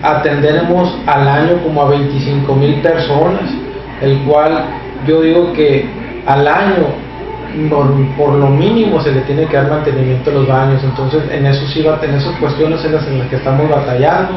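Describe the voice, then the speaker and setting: A man explains his work in a hesitant, halting way, full of fillers and restarts. His delivery stays flat and even. He sounds far from the camera mic in a small echoey office, with dull audio and steady background noise. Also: a little high. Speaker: shelter administrator. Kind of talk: casual interview explanation. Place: small office with a computer, indoors.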